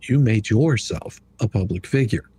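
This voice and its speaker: A man with a slightly husky voice hosting a casual livestream commentary in a blunt, mocking, colloquial way. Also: on the low side, lively intonation, moderate pace, warm tone. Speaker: livestream host.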